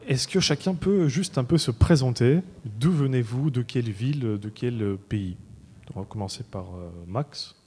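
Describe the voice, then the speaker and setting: A man interviewing musicians at a festival, asking lively, quick questions. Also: medium pitch, in a large hall.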